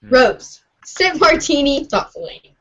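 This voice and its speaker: A woman's voice: high voice, very animated, takes it slowly, a bit bright. A woman reading scripted narration aloud as part of an amateur readers' theatre. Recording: dull, lo-fi audio.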